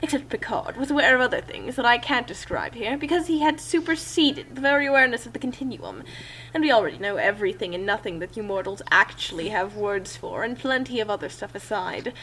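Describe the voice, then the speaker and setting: Female performer as godlike trickster narrator, pompous, scornful, theatrical, raised pitch, noisy humming recording. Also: animated, fairly quick, a bit bright. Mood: haughty boasting. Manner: theatrical, haughty, grandly wordy. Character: pompous, condescending omnipotent trickster being narrating its tale. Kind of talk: dramatic reading of comic fan fiction. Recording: clear background noise and hum.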